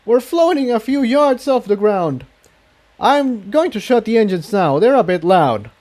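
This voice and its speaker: A man's voice: high-pitched, big pitch swings, a little slow, darkish tone, voice pushed up. A man voicing an upbeat male unicorn tinkerer in a fantasy story, explaining things in a reassuring manner. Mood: satisfied.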